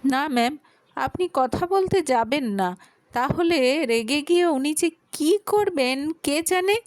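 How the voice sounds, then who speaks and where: A woman's voice: sits high, lively intonation, slow, dry recording, studio quality, voice pushed up. A woman voicing a frightened, pleading college girl in her early twenties for a dramatic audio story. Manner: pleading, anxious, defensive.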